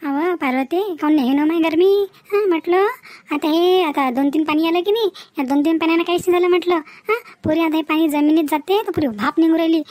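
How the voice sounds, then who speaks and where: A woman playing a talkative, sharp-tongued village housewife in a comic cartoon, with a rural Varhadi accent from Maharashtra and an emphatic, gossipy manner. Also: extremely high pitch, a little fast, no echo. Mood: chatty complaining.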